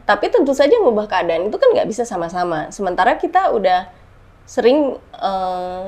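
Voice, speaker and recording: A middle-aged woman speaks plainly as a guest on a relaxed podcast. She talks frankly, slips into slang, and hammers points by repeating words. Her pitch sits in an ordinary middle range. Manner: frank, forceful, mixes slang and repeats herself for emphasis. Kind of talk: casual podcast chat.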